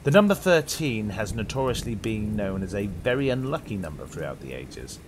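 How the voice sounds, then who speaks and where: A man's voice: lowish voice, very animated, moderate pace, background noise. A young British man hosting and narrating a horror tale with dramatic, suspenseful, old-radio theatrical delivery. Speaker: horror story host and narrator.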